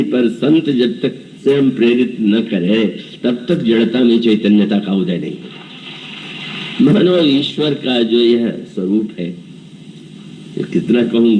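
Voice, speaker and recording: Slow, mellow older man preaching. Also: mid-range voice, far from the mic, lo-fi sound. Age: 70s. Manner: storytelling, voices characters' dialogue and chants verses. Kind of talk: devotional scripture discourse.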